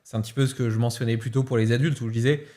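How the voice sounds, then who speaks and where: A man's voice: medium pitch, steady tone, racing, mellow. A man hosting a podcast, in casual conversation, reflective and hesitating often as he thinks aloud. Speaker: podcast host.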